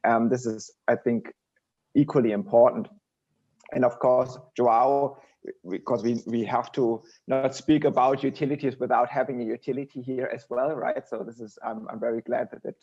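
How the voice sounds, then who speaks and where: A man's voice: medium pitch, steady tone, moderate pace, darkish tone. A man speaking as an industry guest in a conversational discussion, often repeating phrases and checking in with the listener. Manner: repeats phrases, tags statements with "right?". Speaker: podcast guest, industry executive. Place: remote online podcast connection. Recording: dull, band-limited online call audio.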